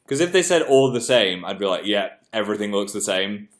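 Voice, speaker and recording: A man's voice: on the low side, sing-song, a little fast. A young man, congested, chatting casually on a livestream and reading viewer comments aloud. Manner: chatty, self-deprecating, reads comments aloud. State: congested, clearing throat. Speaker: livestream host.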